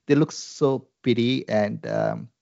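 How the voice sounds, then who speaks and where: A man's voice: mid-range voice, takes it slowly, creaky, a little mellow, dry recording, dull audio. A man from India with an Indian English accent, a Salvation Army minister talking earnestly and reflectively, with frequent fillers. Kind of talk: conversational interview.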